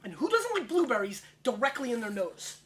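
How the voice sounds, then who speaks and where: A man's voice: very high voice, big pitch swings, very noisy. A man in his thirties hosting a wine tasting show, brash and joking as he chats. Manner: brash, rapid-fire, joking. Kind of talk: casual tasting chat.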